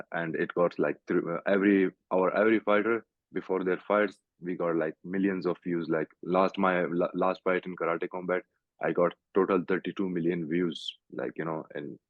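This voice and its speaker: A young Pakistani man, a fighter, answering interview questions over a video call in halting English full of "like" and "you know". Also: low voice, no room sound. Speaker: guest fighter. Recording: tinny call audio, dry.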